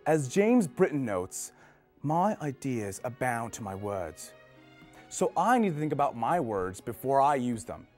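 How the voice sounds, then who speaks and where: A man's voice: mid-range voice, sing-song, unhurried, a little mellow, soft background music. A man delivering a scripted recitation as part of a readers theater performance.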